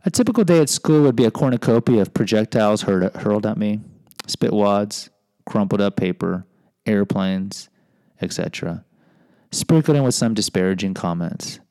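Middle aged american guy doing a confessional podcast, smooth voice, dry room, rambles and pokes fun at himself. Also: fairly low pitch, expressive, normal speed, darkish tone, no echo. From United States. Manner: self-deprecating, rambling digressions. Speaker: podcast host and teacher. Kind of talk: confessional memoir reading with chatty asides.

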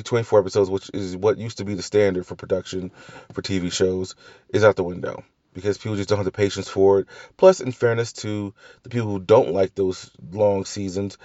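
Low-voiced man rambling through a review. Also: a little mellow, dry recording, dull audio. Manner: rambling with tangents, frequent restarts and mild swearing. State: slight cough left after flu. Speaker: podcast host reviewing TV shows. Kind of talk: casual solo review chat.

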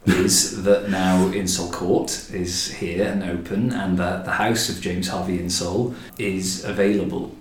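Low-voiced man presenting, roomy sound. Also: level tone, a little slow, hardly any pauses, a little hiss or hum. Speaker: podcast host, director of a historic house. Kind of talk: measured podcast presenting. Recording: roomy, echoing indoor sound.

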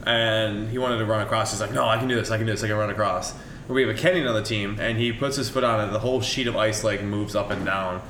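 A man's voice: lowish voice, steady tone, fast, fairly bright tone, a little hiss or hum. A man, a coach, telling a rambling story in casual chat, with many ums along the way. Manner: rambling, filler-heavy with frequent ums. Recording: echoey room.

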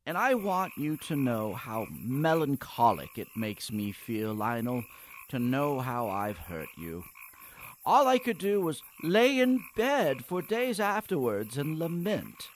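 An actor playing a morose, self-pitying young schemer in a comic radio play, theatrical and falsely innocent. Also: male voice, medium pitch, big pitch swings, takes it slowly, a little hiss or hum. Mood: mournful.

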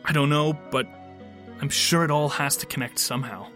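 Man playing a high-voiced, sardonic henchman. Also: fairly even intonation, moderate pace, fairly bright tone, faint music behind, lightly acted. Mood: wry, sarcastic. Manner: sardonic, profane. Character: bitter, sarcastic male henchman of a ruthless boss. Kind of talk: audio drama acting.

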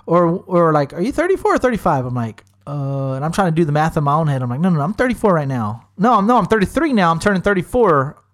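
A man in his thirties co-hosting a podcast, telling crude stories with voiced impressions in loud, animated banter. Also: on the high side, fast talker, hardly any pauses. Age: 30s. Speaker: podcast co-host.

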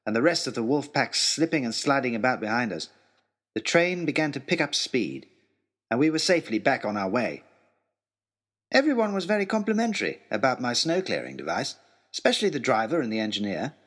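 Animated male adventure storyteller. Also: medium pitch. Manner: expressive, theatrical. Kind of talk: dramatic first-person adventure narration.

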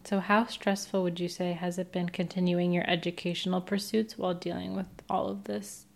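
A woman hosts the segment, reading scripted introductions in a measured, clear manner before asking her guest questions. Her voice sits a little low for a woman. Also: level tone. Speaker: student radio host and interviewer. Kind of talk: scripted narration and interview questions.

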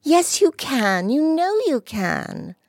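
A woman voicing an earnest, jealous little girl in an audiobook, high and eager. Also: big pitch swings, slow pace, no echo, clean studio sound, full character voice. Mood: insistent. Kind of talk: audiobook character voice.

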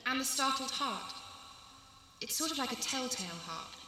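A woman's voice: high voice, lively intonation, moderate pace, sharp tone, slight background noise. A woman translator in a thoughtful literary conversation, recorded in an echoey, reverberant hall.

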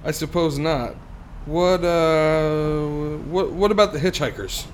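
A man's voice: fairly high pitch, slow, a little hiss or hum. An actor playing a haunted young motorist who tells his eerie story in a radio drama, grave and suspenseful. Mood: nervous, halting. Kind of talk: radio drama narration and acting.

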